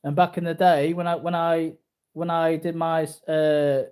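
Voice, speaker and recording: A man's voice: fairly high pitch, flat delivery, measured pace, a little mellow, clear, resonant tone. A man in his early forties talking casually to viewers in a rambling, repetitive, boastful way. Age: early 40s. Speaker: martial arts vlogger.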